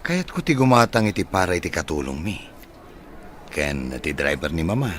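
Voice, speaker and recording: Give me a smitten middle-aged bachelor with a very low bass voice. He is well-off, earnest and gullible, with an Ilocano accent and radio-drama delivery. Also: very animated, moderate pace, noisy background. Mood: sheepish, courting.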